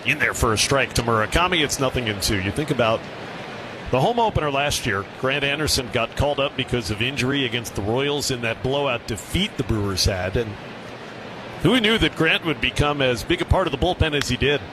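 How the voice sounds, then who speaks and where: A man's voice: medium pitch, animated, brisk, noisy background. A man giving live baseball play-by-play and commentary on the radio. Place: stadium broadcast booth.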